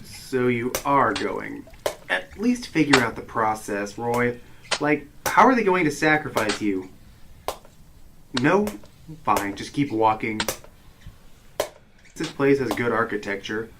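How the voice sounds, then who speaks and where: An actor playing the exasperated, sarcastic voice of reason in a hero's head in a comedic radio drama. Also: male voice, mid-range voice, expressive, slow, pauses often. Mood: wry. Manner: sarcastic, wry, worrying. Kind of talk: comedic sci-fi radio drama narration and dialogue. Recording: clear background noise.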